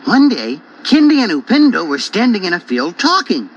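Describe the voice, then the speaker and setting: A man reading a children's folk tale as a storyteller, in an animated scripted style. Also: high voice, moderate pace. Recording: dull cassette tape.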